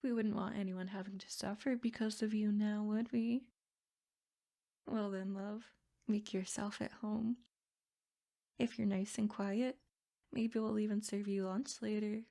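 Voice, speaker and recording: A woman's voice: mid-range voice, fairly even intonation, unhurried, creaky, pauses often, mellow. A woman voicing a sadistic, possessive princess in an ASMR roleplay, speaking in formal, haughty phrases with silky menace. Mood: coolly menacing. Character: sadistic, possessive yandere princess, smug captor. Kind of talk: ASMR roleplay, scripted character monologue.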